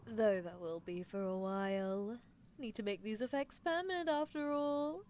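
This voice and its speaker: A woman's voice: medium pitch, normal speed, a little mellow, slight background noise, natural voice. A woman voicing a playful mad-scientist horse girl who teases and dotes on a test subject in live roleplay ASMR. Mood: teasing, affectionate. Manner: teasing, theatrical, doting.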